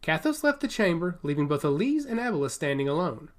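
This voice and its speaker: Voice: mid-range voice, very animated, fast talker. A narrator reading a scripted fantasy story.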